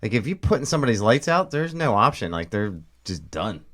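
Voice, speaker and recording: A man co-hosting a podcast, asking relaxed, chatty questions. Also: mid-range voice, brisk. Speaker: podcast co-host. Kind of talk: casual interview.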